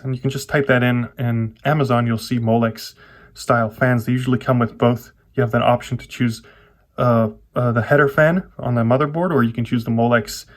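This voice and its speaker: A man vlogger talking casually through a hands-on computer build, often pausing and rewording his explanations as he goes. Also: medium pitch. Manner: informal, halting, self-correcting explanations. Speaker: tech vlogger.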